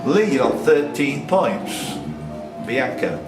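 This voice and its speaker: Animated male quizmaster in an echoey room. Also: mid-range voice, takes it slowly, distant mic. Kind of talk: reading quiz questions with casual banter. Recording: echoey room, off-mic, music behind.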